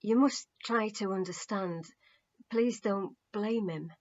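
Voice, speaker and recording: A woman voicing a distraught young man, emotional, emphatic and halting, in a story reading with muffled sound. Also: medium pitch, a little mellow. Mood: ashamed, urgent. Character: distraught young male follower recounting a betrayal.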